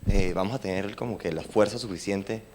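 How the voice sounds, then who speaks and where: Deep-voiced man asking from the audience. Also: fairly quick, darkish tone. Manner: lightly humorous, plays with wordplay. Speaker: audience member asking a question. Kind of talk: question from the floor. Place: conference venue.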